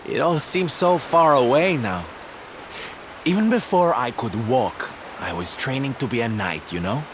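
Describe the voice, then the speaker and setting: Flamboyant, slow, swooping circus performer. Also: male voice, medium pitch, background noise. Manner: camp, dramatic, sighing, coy. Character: flamboyant, theatrical, sensitive adult male circus performer. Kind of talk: video game character acting.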